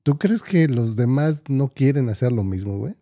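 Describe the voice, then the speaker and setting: A man's voice: mid-range voice, sing-song, normal speed, mellow, no room sound, clean studio sound. A man in his thirties with a Mexican Spanish accent, a podcast co-host who argues skeptically and reasons through examples. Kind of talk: heated casual debate. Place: small studio with foam panels. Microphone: studio mic, headphones.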